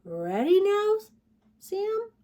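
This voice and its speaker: A woman voicing a gentle, patient mother bear in a bedtime storybook, coaxing in drawn-out words. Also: very high-pitched, huge pitch swings, voice pushed up, full character voice. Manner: coaxing, slow, drawn-out delivery. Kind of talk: children's storybook read-aloud.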